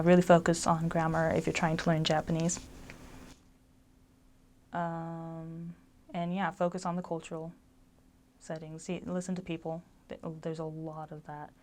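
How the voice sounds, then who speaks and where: A woman's voice: deep voice, darkish tone, a little hiss or hum. A young woman giving casual advice about living abroad, rambling with lots of ums and likes. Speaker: former resident giving advice.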